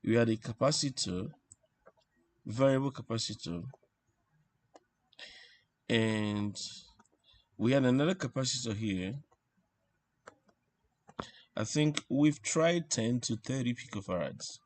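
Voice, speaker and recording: A man giving an informal technical lesson as he draws, explaining step by step in a halting way and thinking aloud. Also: mid-range voice, no room sound. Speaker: instructor presenting an electronics tutorial. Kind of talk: informal technical lesson, talking while drawing.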